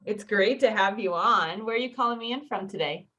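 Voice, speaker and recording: Woman, podcast host, enthusiastic, bubbly, mid-pitched. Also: fairly quick, pushed and bright, muffled recording. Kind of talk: interview hosting.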